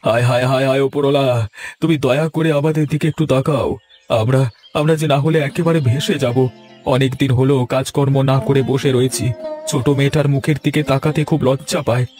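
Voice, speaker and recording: A man's voice: medium pitch, steady tone, fast, a little mellow, natural voice. Male actor as despairing middle-aged villager father, lamenting, cartoon fairy tale, music bed underneath. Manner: lamenting, self-pitying. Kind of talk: Bengali cartoon story dubbing.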